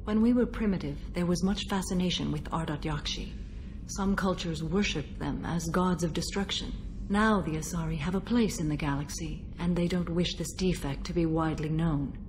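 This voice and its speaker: An actress playing a stoic, centuries-old alien warrior woman bound by a code in a video game, speaking in a measured, formal, solemn manner. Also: lowish voice, a little mellow, slight background noise, natural voice, poor audio. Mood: solemn, stern. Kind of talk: video game dialogue acting.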